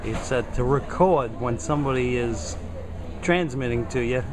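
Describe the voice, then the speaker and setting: A man's voice: medium pitch, moderate pace, crowd noise. A man giving a casual, admiring handheld video tour as he talks from behind the camera inside a shop. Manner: enthusiastic, admiring exclamations. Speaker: vlogger touring a radio shop. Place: indoors in a cluttered radio shop. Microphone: handheld camera mic, behind the camera. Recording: busy shop background noise.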